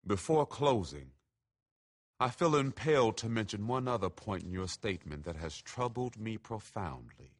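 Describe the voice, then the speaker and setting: A man giving a measured, grave, oratorical reading of a written letter. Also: on the low side, lively intonation.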